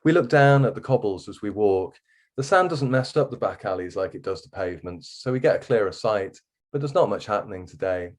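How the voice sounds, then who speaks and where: Low-voiced man reading a story aloud. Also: a little mellow. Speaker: guest author reading from a novel. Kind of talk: expressive first-person story reading.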